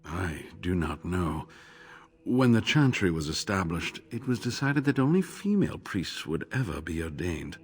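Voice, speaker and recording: An earnest male scholar from a fantasy game, his deep voice husky and grained. He speaks in formal, measured sentences, devout and driven by his life's work. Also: sing-song, exaggerated voice. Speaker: game character. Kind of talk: voiced video game dialogue.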